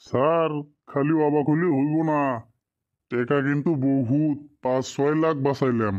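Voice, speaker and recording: A man's voice: on the high side, moderate pace, darkish tone, highs cut off, close to an everyday voice. A man voicing a greedy, fawning office henchman in a cartoon drama, sly and deferential, in a rural Bengali dialect. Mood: wheedling. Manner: deferential, wheedling, conspiratorial. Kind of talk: amateur cartoon drama dialogue.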